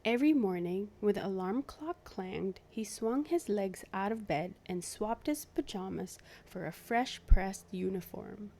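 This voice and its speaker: Slow, mellow woman reading a picture book. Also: medium pitch. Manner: clear storytelling with animated asides to young listeners. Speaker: host of a children's read-aloud series. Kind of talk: expressive picture-book narration for young children.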